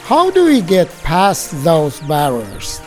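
A woman co-narrates a community video and reads slowly from a script. Her pitch rises and falls a lot as she poses questions. Faint music plays behind. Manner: expressive, questioning reading.

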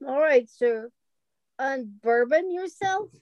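A woman's voice: high-pitched, lively intonation, slow pace, darkish tone, no room sound, dull audio. A woman playing a devoted, meek secretary to a private detective in 1940s radio style, eager and deferential. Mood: meek, concerned.

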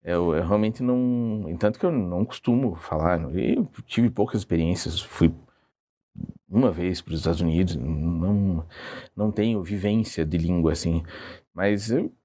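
Man, low mellow voice, hesitant, self-deprecating, interview guest. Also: a little slow. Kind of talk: relaxed conversational storytelling.

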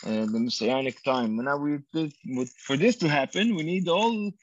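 A man's voice: on the high side, normal speed, no room sound. A man whose first language is Hebrew, a rabbi answering interview questions in English with many fillers and restarts. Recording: dull lo-fi dry sound.

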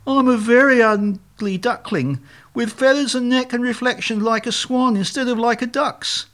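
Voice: mid-range voice, normal speed, fairly bright tone, pitched above the performer's own voice, close to an everyday voice. A performer voicing a naive, dim young swan who believes he is an ugly duckling, self-pitying and childlike in a storybook tale.

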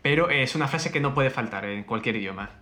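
A man hosting a casual chat in a relaxed, chatty way, with lots of drawn-out fillers. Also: mid-range voice. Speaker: host.

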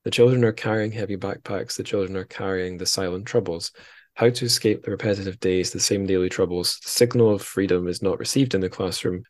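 A man's voice: low voice, fairly even intonation, a little fast, no echo. A man hosting a podcast in casual conversation, informal and a little self-deprecating. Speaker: podcast host.

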